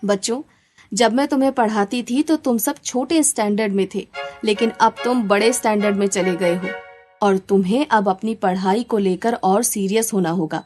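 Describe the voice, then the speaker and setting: A woman's voice: medium pitch, moderate pace, over music, close to an everyday voice. A woman voicing a kind but firm schoolteacher who explains things to children in a cartoon story. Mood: gently admonishing.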